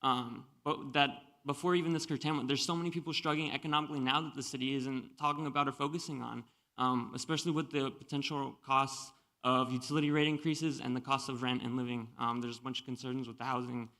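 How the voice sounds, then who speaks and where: Gravelly, bright-voiced man advocating. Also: mid-range voice, fairly even intonation, fast. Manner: earnest advocate, many ums, long run-on points. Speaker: resident giving public comment. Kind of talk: public comment at a community meeting.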